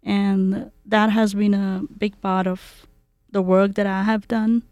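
A woman, a guest in an interview, speaking in a measured, deliberate way. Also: mid-range voice, flat delivery, darkish tone, dry recording. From India. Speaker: guest, community advisor. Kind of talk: conversational interview.

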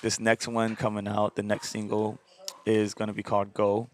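A man with a raspy voice, talking as a podcast guest in casual, animated chat, repeating words and fillers as he goes. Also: on the low side, moderate pace, slight background noise. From Hawaii. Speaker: podcast guest, dancer and singer.

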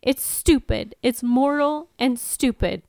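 A woman reading the part of a blunt young ex-demon, newly human and baffled, asks her questions in a raised, pressing voice. Her tone is smooth and clear, and she keeps coming back to the same point. The sound is close and dry. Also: high voice, normal speed, dry recording, pitched above the performer's own voice, close to an everyday voice. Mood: distressed. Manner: plain, puzzled, insistent questioning.

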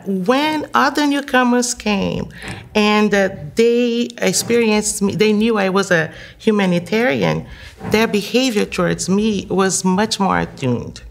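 A woman from Brazil speaks English with a gravelly edge of vocal fry. She talks reflectively as an interview guest, pausing on fillers and restarting phrases as she thinks. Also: medium pitch. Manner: thoughtful, with frequent fillers and restarts. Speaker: psychotherapist and humanitarian guest.

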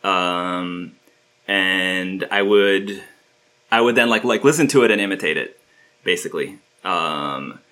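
A man's voice: low voice, a little slow, sharp tone. A man from Turkey, a guest musician, talking casually in an interview, rambling with many fillers and restarts.

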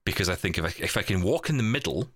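A man's voice: sits low, very animated, very fast, a bit bright, no echo, studio quality. A man chatting casually as a podcast host, candid and a little hesitant, often repeating his words. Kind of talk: casual chat.

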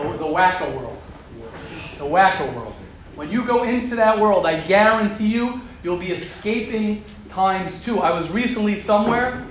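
A man teaching a group of young men, talking forcefully and repeating his points for emphasis. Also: high-pitched. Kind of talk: emphatic religious talk to a group. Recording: very roomy echo with faint background hum.

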